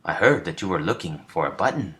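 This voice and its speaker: A man's voice: sits low, animated, brisk, a bit bright. A man voicing a helpful male raccoon in a children's storybook, in a plain, obliging manner.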